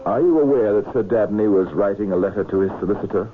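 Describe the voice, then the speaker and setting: An actor playing a composed murder investigator, asking measured, formal and insistent questions in a vintage radio drama. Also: male voice, fairly low pitch, natural voice. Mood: pointed, formal. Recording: muffled, noisy old radio recording.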